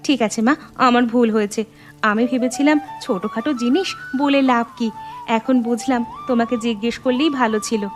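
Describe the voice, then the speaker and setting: A woman's voice: on the high side, steady tone, normal speed, over music, lightly acted. A woman playing a young Bengali daughter-in-law in a cartoon, caring, earnest and respectful, eager to please. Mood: earnest, nervous. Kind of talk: animated moral story voice acting.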